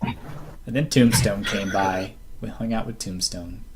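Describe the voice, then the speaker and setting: A man co-hosting a casual group podcast, talking haltingly as he searches for words. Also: fairly low pitch, fairly even intonation. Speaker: co-host of a fan podcast. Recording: clear background noise and clicks.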